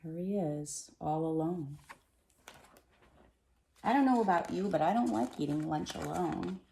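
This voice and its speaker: A woman reading a children's picture book aloud in an expressive, animated way, with dramatic pauses and emphasis. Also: on the low side, vocal fry. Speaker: storybook reader. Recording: roomy, echoey sound.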